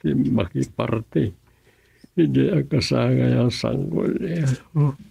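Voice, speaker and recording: Gravelly Ilocano husband, grumbling slowly. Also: male voice, mid-range voice, mellow, dry recording, full character voice. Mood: musing, grumbling. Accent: Ilocano Filipino. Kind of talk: Ilocano radio comedy drama acting.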